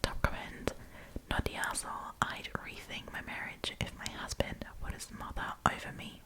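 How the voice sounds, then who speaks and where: A woman's voice: normal speed, low-quality recording. A woman doing an ASMR storytime, chatting casually and informally with rambling asides.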